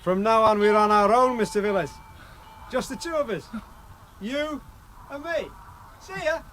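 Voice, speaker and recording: A man's voice: sits high, expressive, a little slow, a bit bright, slight background noise. A man acting in a radio drama as a wary, scheming desert guide with a colloquial, matter-of-fact manner. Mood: menacing.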